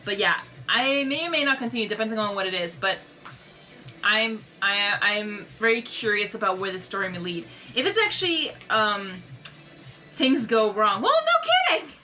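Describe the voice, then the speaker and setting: A young woman hosting a reaction video, talking in a rambling, earnest, soft-spoken way with many fillers and restarts. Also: mid-range voice, big pitch swings, normal speed, noisy background. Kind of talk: casual reflective monologue.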